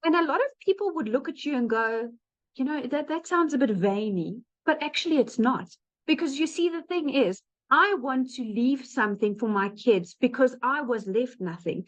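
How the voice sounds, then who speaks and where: Woman, late thirties, South African accent, fairly high voice, informal webinar testimonial, direct and earnest, muffled lo-fi sound. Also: animated, normal speed. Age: late 30s. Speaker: webinar speaker, digital marketer. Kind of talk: informal testimonial pitch to online audience. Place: online webinar call. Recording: dull lo-fi online call audio.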